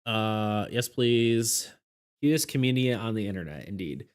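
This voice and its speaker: A man's voice: fairly low pitch, lively intonation, unhurried, clean tone. A man chatting casually with his viewers on a live stream, rambling, restarting his sentences and laughing often. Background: faint background music.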